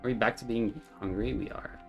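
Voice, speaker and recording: A man's voice: medium pitch, fairly even intonation, fairly quick, muffled recording, soft background music. A streamer whose first language is French, chatting casually and laughing easily while he plays. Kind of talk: casual gameplay chat.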